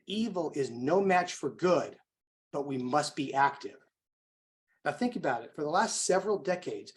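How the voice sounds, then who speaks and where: An American man speaking as an event host, delivering an emphatic, rhetorical motivational introduction with deliberate phrasing. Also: fairly high pitch. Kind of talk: motivational speech introducing a guest.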